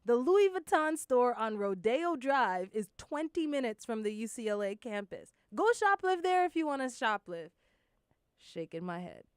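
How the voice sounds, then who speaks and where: A woman's voice: fairly high pitch, sing-song, moderate pace, clear, resonant tone, no room sound, studio quality. A woman from Jamaica co-hosting a casual news chat, reading out viewer comments with a wry, easily amused manner. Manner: wry, laughs easily, reads comments aloud.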